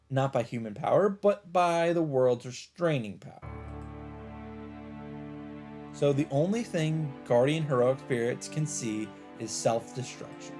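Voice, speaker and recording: A man reading a visual novel script aloud in an expressive narrating style. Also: medium pitch. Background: game music.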